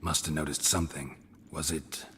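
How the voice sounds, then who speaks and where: An actor playing a stoic, seasoned monster hunter in a video game, terse and deadpan. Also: male voice, a bass voice, moderate pace, big-room sound, slight background noise. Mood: businesslike. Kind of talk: video game character acting. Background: music.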